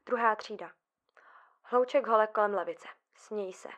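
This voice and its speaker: An actress playing an anxious, fragile female psychiatric patient in an audio drama, with nervous, halting delivery. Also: medium pitch, a bit bright, lightly acted. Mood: rising panic.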